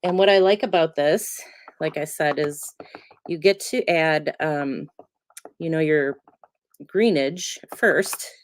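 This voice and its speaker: A middle-aged woman demonstrating card making, chatty and relaxed with many ums, still a little congested from a cold. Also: low-pitched, slow, slight background noise. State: congested, getting over a cold. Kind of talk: casual crafting tutorial.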